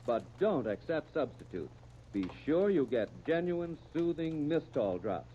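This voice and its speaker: A man's voice: on the high side, very animated, unhurried, warm tone. An American man reading a scripted 1930s radio commercial, on a muffled, noisy old broadcast recording.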